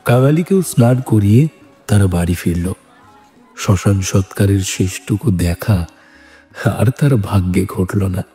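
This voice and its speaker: Low, mellow male story narrator. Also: animated, a little slow. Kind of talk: scripted literary narration of a classic Bengali story. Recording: music bed behind the voice.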